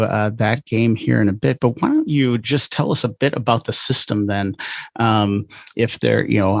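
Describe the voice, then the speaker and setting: Male podcast host, middle-aged. Lowish voice, casual, hesitant phrasing, dry studio-like sound. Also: gravelly, no room sound. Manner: halting, many hesitations. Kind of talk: casual podcast interview chat.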